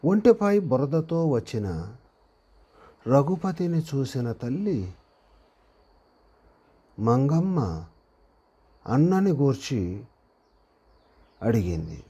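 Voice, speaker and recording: A man reading a moral story aloud as a narrator, deliberately, in short phrases with pauses, as if for dictation. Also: medium pitch, sing-song, dark tone, husky, highs cut off.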